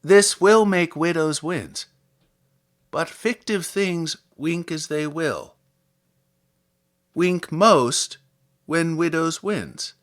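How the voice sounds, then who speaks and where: A man reading scripted literary material aloud as a podcast host, in a measured, articulate, theatrical manner. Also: fairly high pitch, fairly bright tone. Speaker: podcast host and poetry reader. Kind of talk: scripted literary introduction and poetry recitation.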